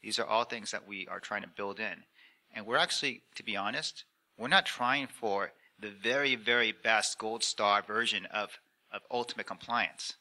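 A man with a fairly low voice and a very bright, forceful edge speaks on a conference panel. He explains things off the cuff in measured, halting phrases, posing questions as he goes into a handheld mic. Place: conference room panel before an audience. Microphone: handheld microphone.

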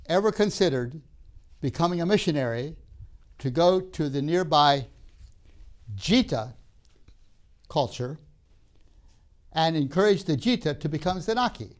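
An older man who grew up in Tanzania, giving a measured classroom lecture with emphatic pauses, recorded on a lapel mic in a studio classroom. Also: a little high. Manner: measured, emphatic pauses, gestures while talking.

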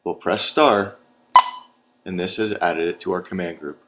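A man's voice: low-pitched, measured pace, heavy background noise. An American man narrating a scripted training video as an instructor, in a methodical, step-by-step manner.

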